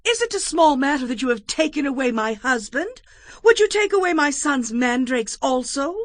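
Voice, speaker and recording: A woman's voice: high-pitched, very animated, normal speed, no echo, clean studio sound. An actress playing a proud, yearning unloved wife and mother in a dramatized scripture reading, with emotive, declamatory delivery. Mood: indignant.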